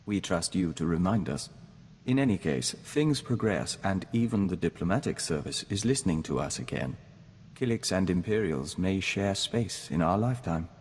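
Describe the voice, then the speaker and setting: An actor playing a gentle, earnest man joined to an insect hive mind, measured and formal in scripted game dialogue. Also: deep voice, lively intonation, husky. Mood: soft, sincere. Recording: noisy game capture with long reverb.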